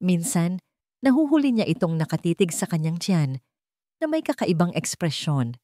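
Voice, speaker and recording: A woman's voice: lowish voice, very animated, moderate pace, dark tone, dry recording, studio quality. A woman with a Filipino accent reading a scripted love story as a dramatic storyteller.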